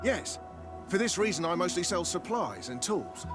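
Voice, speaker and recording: An actor playing an eager, flattering, chatty merchant in a mining village in a video game. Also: male voice, high-pitched, moderate pace. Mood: eager, hopeful. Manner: obliging, chatty salesman. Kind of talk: scripted video game dialogue. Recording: loud music over the voice.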